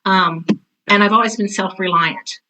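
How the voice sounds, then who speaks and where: Interview guest: American woman, 60s, crisp bright delivery, frank, no-nonsense storyteller. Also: mid-range voice, steady tone, normal speed, soft background music, rough recording. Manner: blunt, forthright, anecdotal. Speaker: interview guest, drama teacher and author. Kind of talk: candid personal conversation.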